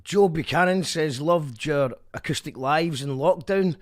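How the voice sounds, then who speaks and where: A man's voice: fairly high pitch, unhurried. A man hosting a radio interview, chatty and reading out listener messages. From Scotland. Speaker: radio host. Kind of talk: casual interview chat.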